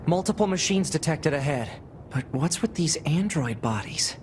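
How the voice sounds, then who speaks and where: A man's voice: a little high, lively intonation, moderate pace, a little hiss or hum, natural voice. A young man voicing an eager, cautious, talkative android soldier in a video game. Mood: wary, focused. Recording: loud game music behind. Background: loud game music.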